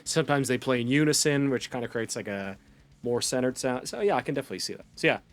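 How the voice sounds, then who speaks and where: A man's voice: mid-range voice, lively intonation, quick pace. A man hosting a music reaction video, chatting casually and eagerly explaining songs, laughing often. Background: faint music playing.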